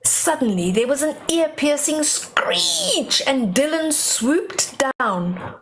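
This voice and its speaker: A woman's voice: high voice, sing-song, slow, background noise. An older woman reading a storybook aloud to young children, dramatic, with simple explanatory asides.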